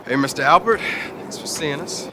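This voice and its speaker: An actor playing a wry, casual small-time crook in a video game, cagey and easily made incredulous. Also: male voice, fairly high pitch, moderate pace, noisy background, lightly acted. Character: wisecracking small-time criminal buddy, cagey but excitable. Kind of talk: video game cutscene acting.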